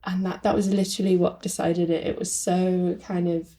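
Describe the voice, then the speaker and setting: A woman's voice: lowish voice, level tone, moderate pace, dark tone. A woman speaking reflectively with fillers as a guest translator in a podcast conversation.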